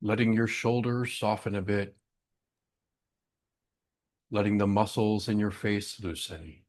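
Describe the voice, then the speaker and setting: A man guiding a breathing meditation in a gentle, unhurried way, leaving long pauses between short instructions. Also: lowish voice, fairly even intonation. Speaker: meditation guide.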